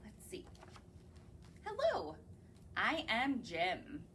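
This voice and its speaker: A woman's voice: fairly high pitch, huge pitch swings, drawn-out words, pushed and bright, slight background noise. A young woman, a children's librarian, reading picture books aloud in a library in a sing-song way and asking the children questions. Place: public library.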